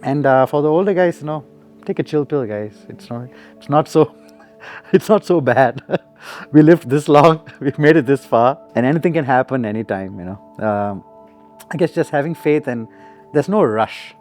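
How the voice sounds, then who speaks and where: A man's voice: mid-range voice, expressive, normal speed, darkish tone, faint music behind. Laid-back man reflecting in an interview. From Singapore. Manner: easygoing, reflective, laughs often. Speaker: musician guest. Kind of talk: relaxed interview answers.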